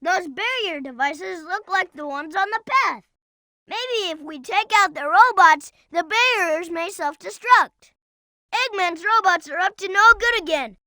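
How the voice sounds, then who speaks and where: A performer voicing a young boy fox sidekick in a video game, childlike, earnest and excitable, eager to explain things. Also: very high-pitched, a little slow, dry recording, full character voice. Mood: earnest, observant. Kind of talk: video game character voice acting.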